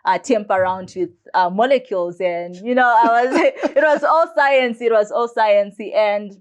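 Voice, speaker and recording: A woman's voice: a little high, moderate pace, clean tone. A young woman of about twenty, chatting as an interview guest and telling her story with plenty of "like" fillers. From Zimbabwe. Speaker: guest, biomedicine student. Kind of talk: casual storytelling in conversation.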